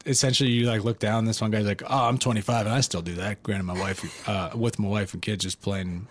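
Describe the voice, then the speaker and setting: A man's voice: on the low side, fairly even intonation, brisk. A man co-hosting a podcast, telling things casually and acting out quotes in informal slang. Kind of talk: casual, animated storytelling chat.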